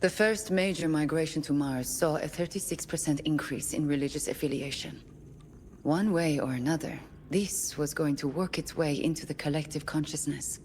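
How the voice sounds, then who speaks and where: A woman playing a composed, probing therapist in a space colony for a game audio log, speaking in a calm, clinical, measured way. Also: low voice, in a large hall, slight background noise, natural voice. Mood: reflective, concerned. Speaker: doctor, therapist.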